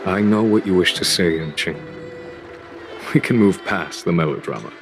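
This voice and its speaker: A man voicing a composed, courteous general in an anime game, calm, formal and measured. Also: sits low, over music. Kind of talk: anime game cutscene voice acting.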